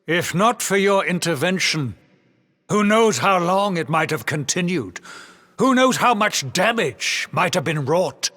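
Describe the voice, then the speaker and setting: A man's voice: high voice, lively intonation, measured pace, no echo, clean studio sound, full character voice. An actor playing a grandiose middle-aged king in a game cutscene, speaking in a formal, theatrical and courtly way. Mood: grateful, solemn. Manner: formal, stately, theatrical delivery. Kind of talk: scripted game cutscene acting. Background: loud music.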